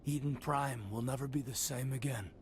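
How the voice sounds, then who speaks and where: A man's voice: mid-range voice, fairly even intonation, normal speed, slight background noise, lightly acted. A man playing an earnest, vigilant military officer in a video game, with a grave way of speaking. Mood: grim.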